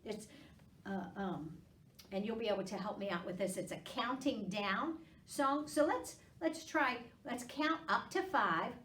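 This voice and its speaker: Casting: female children's storytime host, expressive and coaxing, very varied pitch, roomy distant pickup. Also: measured pace. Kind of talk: children's storytime read-aloud and chat. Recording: echoey room, distant microphone.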